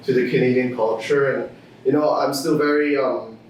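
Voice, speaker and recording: A man's voice: mid-range voice, steady tone, moderate pace, slight background noise. A young man from Thailand, a student guest on a podcast, talking casually with many fillers and restarts. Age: young adult. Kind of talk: casual interview chat. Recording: echoey room, distant from mic.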